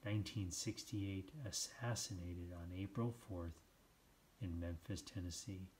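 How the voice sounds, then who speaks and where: A man narrates a children's book in a fairly low voice. He takes it very slowly, with deliberate phrasing and dramatic pauses between short phrases. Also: a little mellow. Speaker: storybook reader. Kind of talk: scripted children's read-aloud narration.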